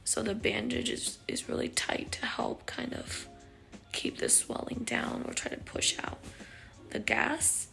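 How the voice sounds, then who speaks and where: A woman vlogger giving a casual recovery check-in, with a raspy, sometimes unclear voice and a halting, filler-heavy way of talking. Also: on the high side, level tone, pushed and bright, whispered, faint music behind. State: recovering voice after neck surgery.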